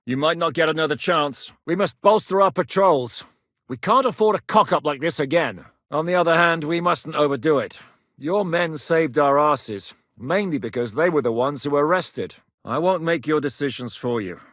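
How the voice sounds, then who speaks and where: A man's voice: a little high, a bit bright, no echo. An actor playing a grizzled male war captain in a medieval video game, blunt, commanding and dryly sardonic, recorded in a studio booth. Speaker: mercenary leader in a medieval game.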